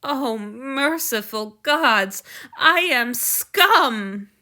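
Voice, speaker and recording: A woman's voice: animated, extremely slow, bright, forceful tone, heavily acted. A woman voicing an impulsive young man who is crying and blaming himself. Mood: tearful, frantic. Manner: sobbing, high-pitched outbursts. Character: young man, weepy, impulsive, self-reproaching.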